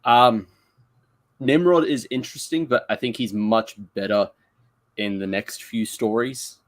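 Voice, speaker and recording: Male panelist for a casual review discussion, halting and repetitive, medium pitch, very dry recording. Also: no room sound. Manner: hesitant, repeats words. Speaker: audio drama review panelist.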